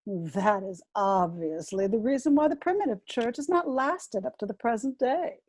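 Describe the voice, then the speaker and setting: A woman playing a prim, coy, middle-aged governess who moralizes pointedly in a dramatic reading of a stage comedy, with a husky voice. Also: on the high side, lively intonation, moderate pace, darkish tone, dry recording. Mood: coyly moralizing.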